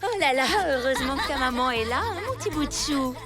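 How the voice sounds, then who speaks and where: A woman's voice: high voice, expressive, fast talker, loud music behind the voice, voice pushed down. A woman voicing a doting, fussy mother who coos over her child in a cartoon. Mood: cooing. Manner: cooing and teasing.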